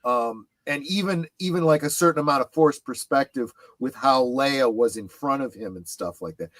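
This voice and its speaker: A man in a casual fan discussion, explaining things as a panel guest and often pausing mid-thought. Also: mid-range voice, dry recording.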